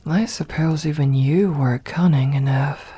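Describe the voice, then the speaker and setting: A woman voicing a haughty, seductive, possessive vampire mistress in an ASMR roleplay, speaking softly in formal, condescending phrases, often close to a whisper. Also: sits low, heavily acted. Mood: amused, condescending. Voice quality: breathy, soft, often whispered. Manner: slow, deliberate, condescending, formal old-fashioned diction. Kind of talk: ASMR roleplay, scripted acting.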